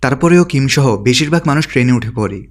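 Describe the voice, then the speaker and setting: A man's voice: medium pitch, expressive, fast talker, heavy background noise. A man reading a scripted story recap as a narrator.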